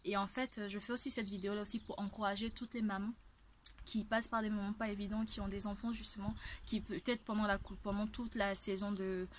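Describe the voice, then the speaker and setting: A woman's voice: mid-range voice, little pitch movement, fairly quick. Woman in her twenties, from Ivory Coast, level intonation, earnest conversational vlog testimony, faint background noise. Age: 20s. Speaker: vlogger. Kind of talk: personal testimony, casual talk to camera.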